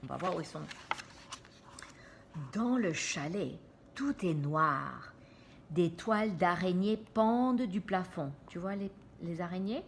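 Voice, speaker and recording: A woman reading a storybook aloud to children, pausing to explain pictures and check in with her listeners. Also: medium pitch, a little hiss or hum. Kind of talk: animated read-aloud with asides to children.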